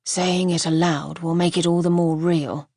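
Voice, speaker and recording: A woman voicing a gravely ill gentleman who speaks softly and tenderly in a lowered, hushed voice. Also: fairly even intonation, moderate pace, no room sound, clean studio sound.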